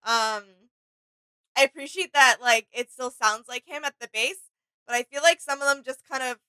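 A woman's voice: high voice, normal speed, bright, forceful tone, no echo. A young woman chatting casually as a reaction video co-host. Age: young adult. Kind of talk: casual chat. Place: small indoor home studio room.

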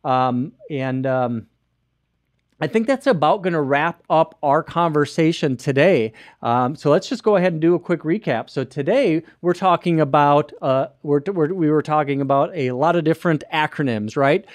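A man's voice: on the high side, moderate pace, no room sound. Man hosting, dry close mic. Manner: gestures a lot, many fillers and restarts. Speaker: host. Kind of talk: explanatory web show talk. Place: small studio with screen behind. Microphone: boom-arm podcast mic close to mouth.

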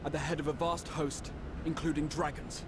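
An actor playing an earnest young scholar-adventurer in a fantasy game cutscene, speaking in a grave, formal way. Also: male voice, on the high side, little pitch movement, normal speed, background noise, close to an everyday voice. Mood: urgent. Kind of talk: dramatic video game cutscene acting.

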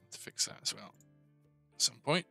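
A man's voice: normal speed, bright, forceful tone. A middle-aged man thinking aloud in casual fragments as he codes at his desk on a headset. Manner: muttering to himself in fragments. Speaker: game developer streaming his coding. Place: indoor desk. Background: keyboard typing, clicks, faint music.

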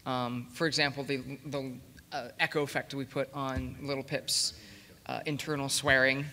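Male panelist, bright voice, halting explainer, live in an echoing hall. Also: medium pitch, flat delivery. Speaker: panelist explaining audio production. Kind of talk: unscripted panel talk. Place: large convention hall, panel table. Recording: reverberant hall sound.

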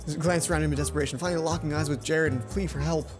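A young man hosts a let's play, reading story text and adding his own comments. He is expressive and playful. Music plays clearly behind his voice. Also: on the high side, racing. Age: young adult. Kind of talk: reading visual novel aloud with commentary.

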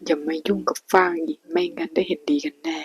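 A woman's voice: low-pitched, fairly even intonation, quick pace, lo-fi sound, a crowd in the background, lightly acted. A woman voicing a crude, hot-tempered young man in a whispered story reading. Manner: blunt and curt, hushed.

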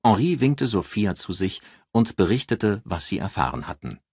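Guy narrating an audiobook, deep voice w some rasp, really varied melody. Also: very animated, moderate pace, a little mellow, no echo, studio quality. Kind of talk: expressive scripted audiobook narration.

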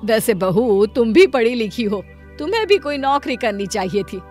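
A practical older mother-in-law in an animated family drama , played by a woman. She chides and nags in a matronly manner, with music behind her. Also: fairly high pitch, moderate pace, lightly acted. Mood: nagging. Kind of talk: animated Hindi family story dialogue.

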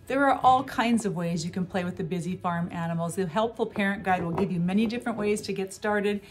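A middle-aged woman demonstrating educational toys at a table in a small room, explaining each step clearly and cheerfully, with faint music behind her. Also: mid-range voice, a little fast. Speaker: toy seller demonstrating products. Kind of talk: product demonstration.

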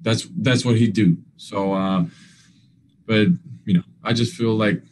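A young man answers reporters over a video call, his voice sitting fairly low. He talks earnestly but haltingly, stacking fillers and restarting phrases, and his grammar slips here and there. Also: fairly even intonation, a little hiss or hum, rough recording. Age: young adult. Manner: earnest, non-standard grammar. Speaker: basketball player. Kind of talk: postgame press conference answers.

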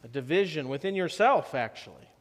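A man in his thirties preaching a sermon as pastor, slow and measured, often dropping to a softer tone for emphasis. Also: a little high, lively intonation. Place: church sanctuary. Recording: long church reverb.

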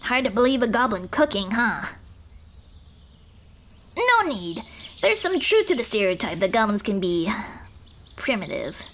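A timid little goblin girl, played by a woman, speaks in a highish voice that swings expressively up and down. She is kind-hearted and halting, offering gentle comfort in an intimate ASMR roleplay, with birdsong and faint outdoor ambience behind her. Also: sing-song, slight background noise. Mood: playful, bashful. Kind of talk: ASMR roleplay, intimate one-sided dialogue. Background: birdsong, nature ambience.

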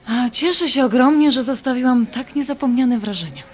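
A woman's voice: high voice, a little fast, natural voice. An actress playing a desperate, indignant married woman in an audio drama, defensive and exasperated with a former lover. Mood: defensive, cold. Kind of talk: audio drama acting, dialogue.

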